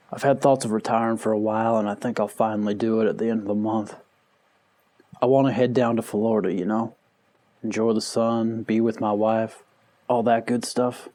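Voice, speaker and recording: A man's voice: lowish voice, warm tone, natural voice. A man playing a serious, weary veteran homicide detective, narrating a crime drama in the first person. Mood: weary, reflective.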